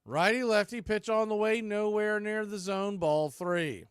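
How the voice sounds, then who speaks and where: Guy doing live baseball radio play-by-play, voice a bit high, short clipped calls, close dry sound. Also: unhurried, clear, resonant tone, no echo.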